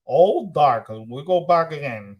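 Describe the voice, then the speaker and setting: A man's voice: on the high side, lively intonation, unhurried, highs cut off. A man co-hosting a casual livestream chat, repeating and restarting his phrases. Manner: repetitive, restarts often. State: hoarse after losing voice. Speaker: co-host.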